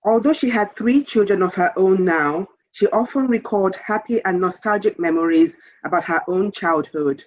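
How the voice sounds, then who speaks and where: A woman author reading a children's story aloud in a measured, expressive storytelling manner. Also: mid-range voice, no room sound, muffled recording.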